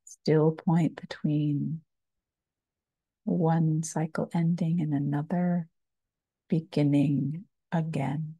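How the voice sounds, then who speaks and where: A woman meditation teacher guiding a body scan, speaking calmly and soothingly in short phrases with long pauses. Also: low-pitched, slightly rough, dry recording. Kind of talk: slow guided meditation, body scan narration.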